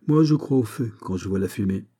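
A middle-aged musketeer captain from a historical novel, performed by a male narrator. He is gruff and fatherly, given to mocking outbursts. His timbre is very dark and soft-edged. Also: fairly low pitch, expressive, a little fast. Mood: teasing scepticism. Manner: blustering, exclamatory, mocking. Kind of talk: dramatised audiobook of a historical novel.

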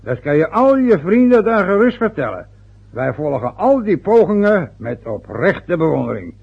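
An actor playing a pompous, gruff older father in a radio play, formal and impatient in his manner. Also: high voice, big pitch swings, normal speed, exaggerated voice. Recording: dull old radio recording.

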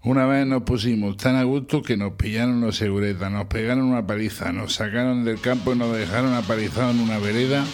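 A man's voice: mid-range voice, steady tone, a little fast, hardly any pauses, close to an everyday voice. An actor playing an easygoing male junkie drifter who talks in a laid-back drawl. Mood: reminiscing.

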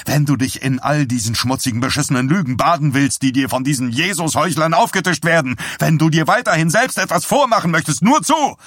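A man reading an audiobook, playing a fed-up, angry husband who scolds and barks forcefully. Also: mid-range voice, expressive, moderate pace, no room sound, clean studio sound. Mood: furious. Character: enraged limping husband, fed up with wife's zealotry. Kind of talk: dramatised audiobook dialogue.

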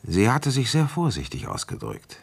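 An actor playing a weary, shrewd provincial policeman recounting an old case in a radio play, deliberate, conversational and wry. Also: fairly low pitch, wildly swooping pitch, vocal fry, husky, full character voice. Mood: wry, reminiscing. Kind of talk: German radio play, first-person narration and dialogue.

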